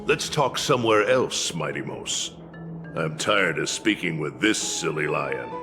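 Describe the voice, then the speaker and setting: Old male warrior mentor, gruff and wily, low swooping delivery, game drama, long reverb. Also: sing-song, a little slow, full character voice. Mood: teasing. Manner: grand, theatrical storytelling drawl. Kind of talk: video game voice acting. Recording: long reverb under loud music.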